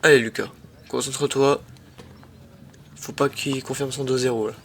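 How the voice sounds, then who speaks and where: A young man with a head cold, casually commenting on his own tennis match in slangy, excitable talk to his viewers. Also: medium pitch, normal speed, slight background noise. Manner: slangy, excitable, talks to viewers as "les mecs".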